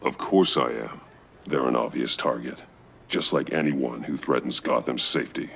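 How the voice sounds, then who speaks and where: Gravelly, ultra-deep grim masked vigilante. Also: male voice, normal speed, a little hiss or hum, exaggerated voice. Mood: guarded. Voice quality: gravelly growl. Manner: terse, deadpan. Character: grim, stoic masked vigilante man, terse and guarded. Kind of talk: video game dramatic acting.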